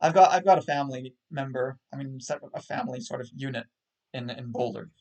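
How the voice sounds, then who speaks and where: A man in casual chat on a livestream, self-deprecating and halting, with frequent restarts. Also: medium pitch, a little mellow. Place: home setup on a livestream call.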